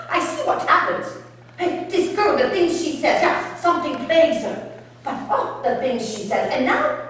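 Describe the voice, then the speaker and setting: Brief: a woman doing a proud, scornful Dutch settler woman, lively swooping pitch, heard from afar in an echoey room. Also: heavily acted. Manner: scornful, indignant, clipped non-native grammar. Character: defiant Dutch woman colonist accused of witchcraft. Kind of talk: storytelling performance in character. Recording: echoey room, distant mic, faint hum.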